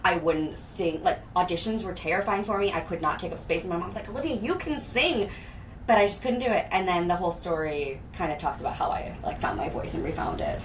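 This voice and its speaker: A woman in her thirties talking in casual, expressive chat as a podcast guest. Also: fairly low pitch, a little fast. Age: 30s. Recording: distant mic, background noise.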